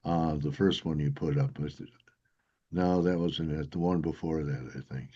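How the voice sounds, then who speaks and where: A man's voice: very deep voice, steady tone, moderate pace, warm tone, dull audio. A man hosting a trading interview, casually discussing markets with his guest.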